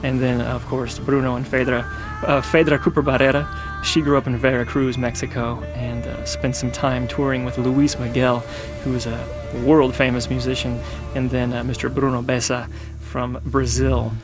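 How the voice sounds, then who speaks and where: A man from Missouri talks about his band in a casual, halting way, with many uhs. His voice stays flat and mid-pitched, and band music plays clearly underneath. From Missouri, United States. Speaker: bandleader and guitarist. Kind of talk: interview answers.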